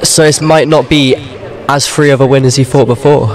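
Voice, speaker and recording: A man's voice: mid-range voice, fairly even intonation, normal speed, a little mellow, faint music behind. Young man in casual sports commentary. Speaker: badminton commentator. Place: large indoor sports hall.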